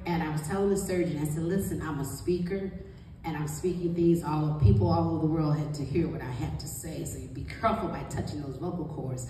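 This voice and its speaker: Middle-aged female author-coach, low mellow voice, emphatic panel delivery, recorded from a distance. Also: steady tone, quick pace. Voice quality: deep voice. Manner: emphatic, encouraging. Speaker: guest author and book coach. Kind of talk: motivational panel talk to an audience. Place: small indoor meeting room. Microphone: handheld mic, captured by distant camera. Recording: distant, very echoey room, faint noise.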